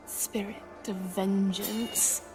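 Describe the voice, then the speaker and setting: A woman's voice: mid-range voice, little pitch movement, extremely slow, loud music behind the voice. A woman playing an ominous seer who foretells blood and doom in a cryptic, incantatory way for a game cutscene. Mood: cryptic, foreboding. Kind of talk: dramatic game cutscene acting.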